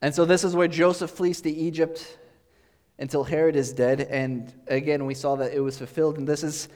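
A pastor giving a relaxed, conversational sermon, explaining as he goes with thinking-aloud pauses, recorded in a church sanctuary. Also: fairly high pitch. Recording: long hall reverb.

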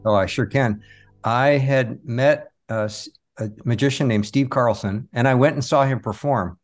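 A man's voice: mid-range voice, normal speed, soft background music. A man being interviewed as a podcast guest, telling stories casually in an earnest, reflective way.

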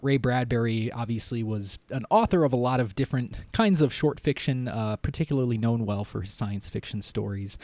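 A man's voice: mid-range voice, a little mellow, dry recording. A man speaking as a podcast host and narrator, presenting literature and audio drama.

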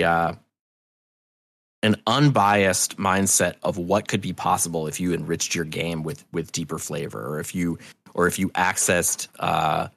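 A man being interviewed, telling stories in an animated, rambling, sweary and self-deprecating way. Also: low voice, vocal fry, no echo. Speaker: interview guest, festival promoter. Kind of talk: casual storytelling interview.

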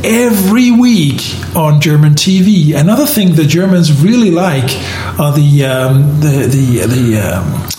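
A man chatting casually and telling stories in an animated way. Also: on the high side, a little slow, hardly any pauses, faint music behind. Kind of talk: casual chat. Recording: echoey room with background noise.

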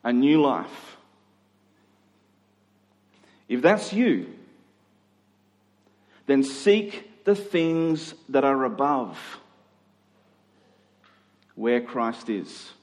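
A man preaching an expository sermon to a congregation, conversational in tone with deliberate, emphatic phrasing. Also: medium pitch, expressive, darkish tone. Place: large reverberant room, live church service. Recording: reverberant hall.

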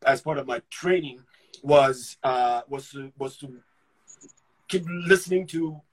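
A middle-aged man from Ghana speaks in a husky, slightly breathy voice at an everyday pitch. He is an actor reflecting on his life in a casual interview. He thinks out loud, doubling back and repeating words before he lands a point. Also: rough recording. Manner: halting, restarts and repeats words while thinking aloud. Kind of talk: casual conversational storytelling.

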